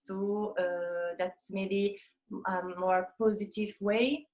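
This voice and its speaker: An Italian woman speaks English in a gentle voice of middle pitch, stopping often to search for the right word. She is recorded over a video call, and the room around her adds a noticeable echo. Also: steady tone, takes it slowly. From Italy. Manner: hesitant, searching for words with many fillers. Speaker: photographer, guest. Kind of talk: interview.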